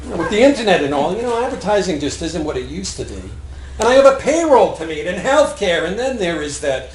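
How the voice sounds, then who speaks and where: A man's voice: high-pitched, big pitch swings, fast. A man acting a jokey, griping middle-aged husband in a live stage comedy. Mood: mock griping. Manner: jokey, theatrical complaining. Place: theatre stage, live performance. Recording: noisy, echoey theatre stage recording.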